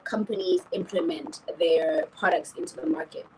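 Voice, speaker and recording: A woman's voice: on the low side, normal speed, dark tone, a little hiss or hum, poor audio. A woman from Tanzania and Cameroon, a French speaker, talking casually about herself in an interview. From grew up in Tanzania, born in Cameroon. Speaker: podcast host, journalist and entrepreneur.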